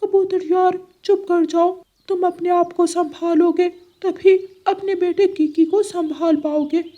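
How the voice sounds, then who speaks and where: A woman's voice: very high-pitched, fairly even intonation, unhurried, mellow, lo-fi sound. A woman voicing a male parrot, a loyal and consoling friend, in an emphatic Hindi cartoon style. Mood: concerned. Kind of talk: Hindi animated story dubbing.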